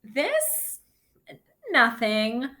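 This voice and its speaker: Woman voicing an earnest, lively-pitched young storybook boy. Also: very slow, full character voice. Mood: shy, hesitant. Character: earnest, shy young boy who draws.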